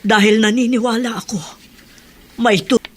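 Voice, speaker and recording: An actress with a Filipino accent playing a stern, unyielding middle-aged mother in a radio drama, firm and reproachful, with a husky voice. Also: fairly high pitch, steady tone, normal speed, a little hiss or hum, lightly acted. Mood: weary, defensive. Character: stern, unyielding mother of two grown sons.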